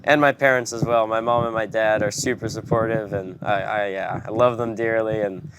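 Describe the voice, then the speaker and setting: A young man from Hawaii talks easily as an interview guest. He drops in lots of casual fillers. The recording has steady background noise. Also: on the low side, normal speed. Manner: laid-back, many fillers like "you know" and "like". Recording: noisy background.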